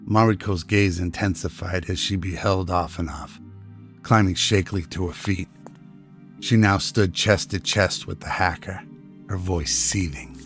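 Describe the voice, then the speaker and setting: Slow, low-voiced male narrator. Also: steady tone, a bit bright, faint music behind. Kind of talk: scripted dramatic narration.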